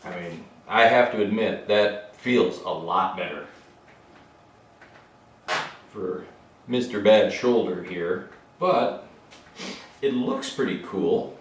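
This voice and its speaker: A middle-aged man from Minnesota casually thinking aloud with fillers while working on a motorcycle in his home garage. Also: lowish voice. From Minnesota, USA. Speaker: motorcycle builder and channel host. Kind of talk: casual workshop vlog. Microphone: camera mic, moving around the room. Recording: roomy garage, sometimes far from mic.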